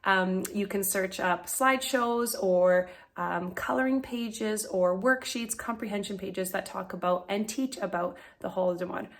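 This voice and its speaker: A woman reading a children's book aloud as a teacher, in an expressive storytime style. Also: medium pitch, a little slow, hardly any pauses.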